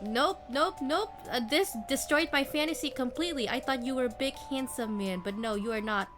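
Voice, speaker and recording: A young woman chatting casually on a stream, giggly and animated. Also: high voice, brisk, music in the background. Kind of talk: casual chat.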